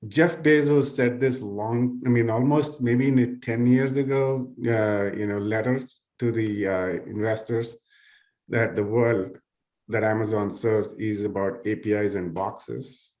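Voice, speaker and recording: A man with a fairly low voice, talking on a panel as a nonprofit's tech lead. He wanders through his points with lots of fillers, circling back as he goes. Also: steady tone, unhurried, darkish tone. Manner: loose, searching phrasing with many fillers. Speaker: tech leader at a nonprofit partner. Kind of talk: panel discussion.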